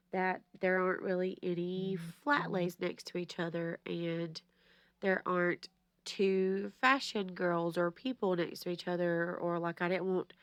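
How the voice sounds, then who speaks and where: A woman's voice: lowish voice, clean tone, no room sound. Lady rambling away at her desk, kinda stuffy nasal voice, dry close sound. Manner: chatty, rambling, asks viewers questions. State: slightly stuffy, nasal from allergies. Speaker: planner vlogger. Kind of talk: casual rambling chat to camera.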